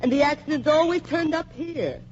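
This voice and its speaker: A woman's voice: high-pitched, moderate pace, a little mellow, a little hiss or hum, natural voice. An actress playing a frightened but defiant young woman spy in 1940s radio acting style. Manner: defiant and breathless. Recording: muffled 1940s radio recording.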